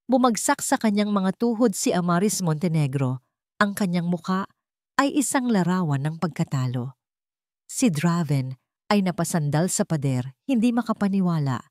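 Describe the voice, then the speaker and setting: A woman narrating a scripted romantic drama story in a dramatic, measured storytelling manner with emphatic short phrases. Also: lowish voice, no echo, studio quality.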